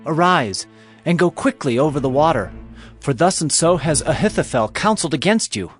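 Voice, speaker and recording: A man's voice: fairly high pitch, lively intonation, normal speed, soft background music, lightly acted. An actor playing an urgent messenger warning his king in a dramatized audio Bible.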